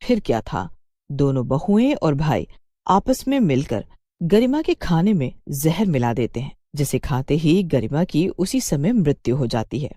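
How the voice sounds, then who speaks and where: A woman's voice: low-pitched, sing-song, a little slow, warm tone, no echo, clean studio sound. A woman storyteller reading a scripted story in a dramatized narration style.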